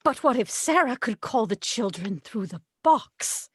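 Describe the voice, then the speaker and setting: Very high voice, dry close sound, meek witch sister, acted by a woman, hesitant, deferential, slow, table read. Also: expressive, husky, no room sound. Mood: timid.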